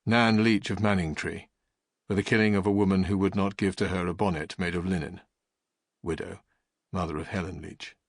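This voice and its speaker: A man with a deep voice narrates an audiobook gravely and formally. His tone is husky and the delivery deliberate, in a dry, close recording. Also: fairly even intonation, no echo.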